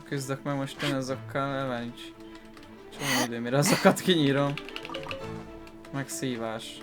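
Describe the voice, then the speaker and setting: A man's voice: medium pitch, moderate pace. A man hosting a gaming video, giving informal, grumbling commentary as he plays. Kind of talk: casual gameplay commentary. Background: game music.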